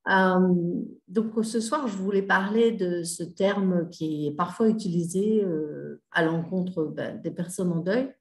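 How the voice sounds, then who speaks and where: A woman's voice: lowish voice, slow pace, reverberant. A middle-aged woman mentor giving a casual spoken talk, hesitant, with many fillers and restarts. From Australia. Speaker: grief mentor and healer.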